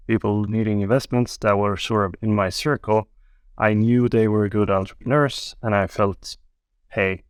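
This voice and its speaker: A man, telling his story as a podcast guest in a relaxed, wry way. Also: fairly low pitch, clear, resonant tone, no echo, studio quality. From Sweden. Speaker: guest, angel investor. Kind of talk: casual podcast interview.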